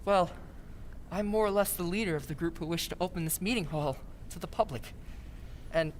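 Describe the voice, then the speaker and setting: A woman's voice: fairly low pitch, fairly quick, slight background noise, lightly acted. A woman playing a nervous, polite young man in an old-time radio drama, speaking with hesitant deference. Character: Edward Darrow, nervous, polite young male civic reformer.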